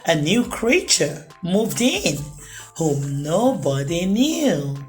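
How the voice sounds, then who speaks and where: A woman narrating a children's storybook as a storytime host, with expressive, deliberate phrasing for young listeners. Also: lowish voice, faint music behind. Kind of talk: children's storybook read-aloud, rhyming narration.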